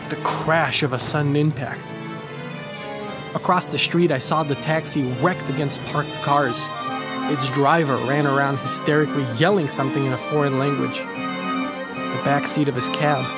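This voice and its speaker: A man narrating a first-person horror story with measured, slow phrasing, who also hosts the channel. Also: fairly high pitch. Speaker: audio drama creator and narrator.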